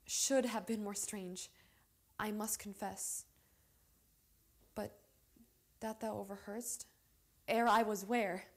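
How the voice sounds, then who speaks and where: A young woman performing a bashful, lovestruck young noblewoman in a classical Shakespearean stage monologue, with deliberate pauses. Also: on the high side. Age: young adult. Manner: deliberate, measured verse delivery with dramatic pauses.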